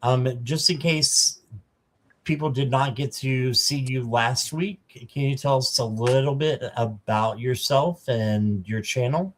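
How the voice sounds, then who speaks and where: A man in his fifties hosting a casual livestream, greeting viewers by name and filling pauses with ums. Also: medium pitch. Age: 50s. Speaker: livestream host.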